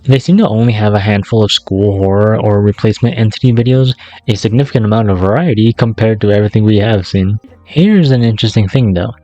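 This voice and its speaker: A man's voice: on the low side, fast, dark tone, dry recording, muffled recording. A man narrating a casual scripted YouTube commentary in a sarcastic, slangy, profane way. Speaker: YouTube video essayist.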